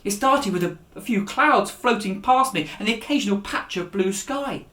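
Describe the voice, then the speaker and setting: A man reading a children's story aloud as its author, with a dramatic, expressive delivery that rises and drops to a hush. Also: high voice, moderate pace. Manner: dramatic, with raised voices for dialogue and hushed endings. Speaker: author reading his own children's book. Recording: echoey room.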